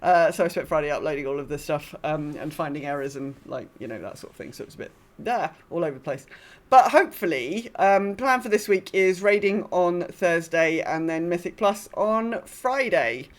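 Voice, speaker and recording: A woman's voice: on the low side, lively intonation, normal speed, few pauses. A woman co-hosting a podcast in casual chat about gaming, informal and rambling, with a self-deprecating streak. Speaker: podcast co-host.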